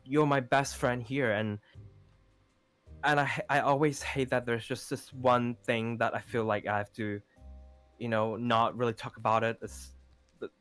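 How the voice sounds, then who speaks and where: A man acts out a lovestruck, supportive young man in an improvised game roleplay. He speaks in a tender, hesitant way, repeating words and circling back, at a middling pitch. Faint music plays behind him. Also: steady tone, fairly bright tone, close to an everyday voice. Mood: earnest pleading. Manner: halting, repeats words, pads with "you know". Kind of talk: improvised Minecraft roleplay acting.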